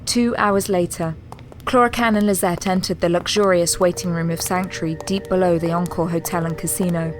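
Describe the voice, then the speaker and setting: An actress narrating a scripted audio drama as a composed third-person storyteller. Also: female voice, on the low side, fairly even intonation, brisk, very noisy, close to an everyday voice. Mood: composed narration.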